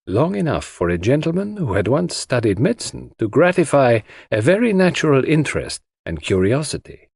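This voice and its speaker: A man voicing an elderly, enigmatic Belgian gentleman detective for an audiobook, with precise, courteous and self-assured phrasing. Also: mid-range voice, big pitch swings, moderate pace, creaky, few pauses, slightly rough, no echo, clean studio sound, voice pushed up, full character voice. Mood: sly. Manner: precise, courtly phrasing sprinkled with French address. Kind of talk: audiobook character voice.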